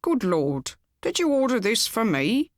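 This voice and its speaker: A woman's voice: fairly high pitch, very animated, unhurried, no room sound, clean studio sound, pitched above the performer's own voice. A woman as a kindly, fussy middle-aged husband. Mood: cheery. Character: kindly middle-aged husband, cheery, solicitous, a bit dull.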